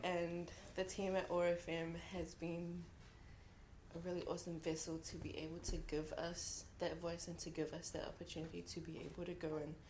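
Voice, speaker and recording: Earnest young New Zealand woman, lowish voice. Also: level tone, darkish tone, a little hiss or hum, rough recording. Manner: reflective and earnest, with frequent pauses. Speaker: contributor speaking at a book launch. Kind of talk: heartfelt speech to an audience. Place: event room at a book launch.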